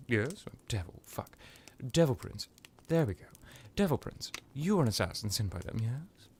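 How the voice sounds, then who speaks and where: A man's voice: medium pitch, creaky, a little mellow, slightly rough, full character voice. A man playing a haughty, centuries-old vampire in a roleplay monologue, pompous and dramatic, talking to the listener in a rambling, wry way. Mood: condescending. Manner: pompous, dramatic, rambling with pauses and whispered asides.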